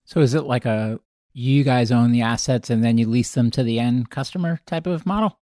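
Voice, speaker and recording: A man's voice: mid-range voice, normal speed, dark tone, no echo, clean studio sound. A man from Massachusetts hosting an interview, asking casual, curious questions. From Massachusetts, USA. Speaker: interviewer, podcast host. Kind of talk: conversational interview.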